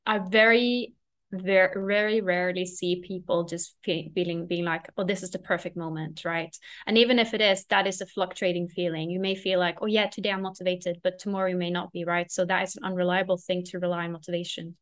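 A woman's voice: fairly low pitch, steady tone, a little fast, fairly bright tone. A young woman speaking as a guest on a podcast, explaining her views reflectively and often checking in with "right?". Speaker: podcast guest, recovery coach. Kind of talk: casual podcast interview.

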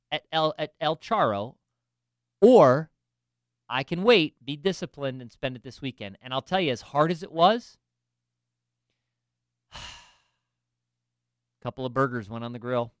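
Man, fairly high voice, lively rises and falls, self-deprecating storyteller, dry close sound. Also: measured pace, pauses often, clean tone, no room sound. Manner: animated, self-deprecating storyteller with frequent restarts. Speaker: finance professional. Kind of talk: casual storytelling chat.

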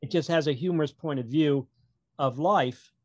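A man's voice: fairly high pitch, moderate pace, no echo. Wry man chatting in a dry, close recording. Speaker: author, podcast guest. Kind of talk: casual interview chat about own writing.

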